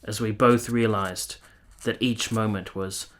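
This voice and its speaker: An actor playing a wistful nineteenth-century gentleman lover in a radio play, speaking in formal, literary phrases. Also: on the low side, fairly even intonation, unhurried. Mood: sensual, reminiscing. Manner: literary, lingering, formal storytelling. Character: romantic, wistful 19th-century Italian gentleman lover recalling his affair. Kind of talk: radio play acting and first-person narration.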